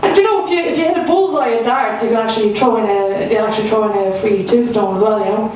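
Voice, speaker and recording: A young Irish woman does a stand-up set in a club, with a fairly high voice and a loose, self-mocking delivery full of fillers. She sounds far from the microphone, and the room echoes heavily around her. A faint hum of room noise sits underneath. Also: fast talker, a little mellow. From Ireland. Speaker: stand-up comedian. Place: comedy club stage.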